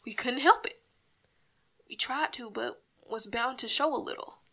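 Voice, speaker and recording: A woman acts out an earnest radio-comedy housewife and mother who is no longer young. Her voice sits a little high. She speaks in a folksy, coaxing way with a plaintive edge, trying to keep the peace. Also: unhurried, vocal fry, bright, forceful tone, exaggerated voice. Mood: wistful, confiding. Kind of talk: old-time radio comedy acting.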